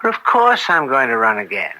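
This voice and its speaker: A man's voice: medium pitch, wildly swooping pitch, brisk, heavily acted, poor audio. An actor playing a genial, indulgent middle-aged judge and father in a radio drama, brushing worries aside in a fatherly way. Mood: dismissive, amused. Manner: fatherly, dismissive of worry. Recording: bright old-time radio recording.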